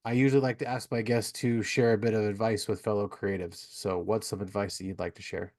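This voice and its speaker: Low-voiced man around forty hosting a podcast. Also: steady tone, brisk, a little mellow. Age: about 40. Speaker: podcast host. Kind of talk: casual interview chat.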